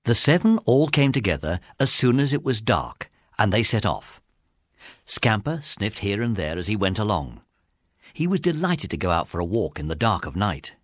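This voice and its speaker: Expressive man narrating a children's story. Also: on the low side, normal speed, no echo, studio quality. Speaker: audiobook narrator.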